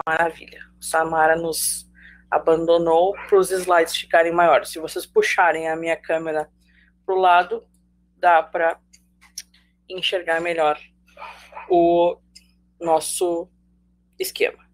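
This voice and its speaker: A woman's voice: on the low side, level tone, slow, pauses often, rough recording. A woman with a Brazilian Portuguese accent teaching a live online sociology class, chatty and jokey, talking straight to her students.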